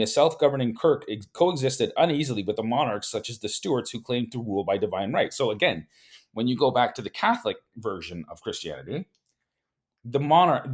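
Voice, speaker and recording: A man reading a history book aloud, stumbling and repeating words now and then, and breaking off into casual spoken asides. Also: on the low side. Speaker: host reading from a book and commenting.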